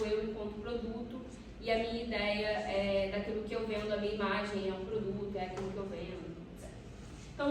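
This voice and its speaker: A young Brazilian woman giving an academic research presentation as a doctoral student, partly off the cuff, with informal asides and tag questions. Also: a little high. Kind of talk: academic conference presentation, semi-spontaneous. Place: echoey indoor room at academic conference. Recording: echoey room, distant mic, clear noise.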